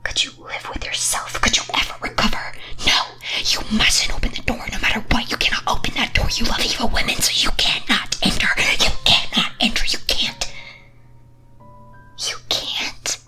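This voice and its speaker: A woman playing a teasing, wicked demon mistress in a breathy, theatrical ASMR whisper, full of dramatic rhetorical questions. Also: a little high, steady tone, normal speed, sharp tone, soft background music. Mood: fretful, dramatic. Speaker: streamer performing as a demon-mistress persona.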